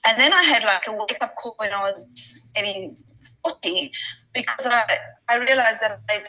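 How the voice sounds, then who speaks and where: A woman's voice: fairly high pitch, normal speed, bright, forceful tone, poor audio. A woman, a health coach being interviewed over a video call, speaking in an effusive, reflective way. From Italy. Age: 50s. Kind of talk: casual interview chat.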